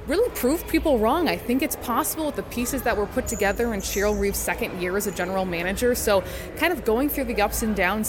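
Clear background noise sits behind a woman with a mid-pitched voice. She is an articulate sports broadcaster giving earnest, thoughtful interview answers.

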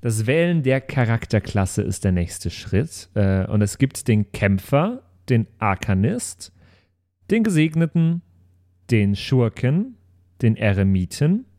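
A man's voice: mid-range voice, sing-song, takes it slowly, warm tone. A man talking casually as a player in a tabletop role-playing game.

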